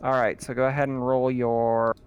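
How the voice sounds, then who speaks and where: A man running a tabletop roleplaying game as game master, explaining rules in a relaxed way with fillers. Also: mid-range voice, normal speed, clear, resonant tone, highs cut off.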